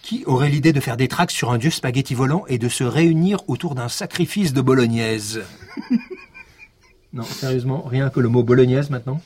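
Actor as curt, sarcastic French police detective. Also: male voice, mid-range voice, brisk, darkish tone, slight background noise, close to an everyday voice. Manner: curt, sardonic, impatient. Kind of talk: naturalistic French audio drama acting.

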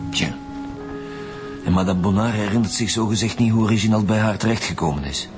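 Actor as a low-voiced Flemish chief inspector. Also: male voice, brisk, music in the background, close to an everyday voice. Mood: probing, sceptical. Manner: dry, formal questioning. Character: male homicide chief inspector, dry, probing, businesslike. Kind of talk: radio drama acting.

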